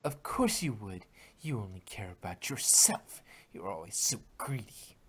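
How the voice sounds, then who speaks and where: Accusing unicorn mare, low and cold, a woman reading. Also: lively intonation, a little slow, husky, exaggerated voice. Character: stern young purple unicorn mare, accusing.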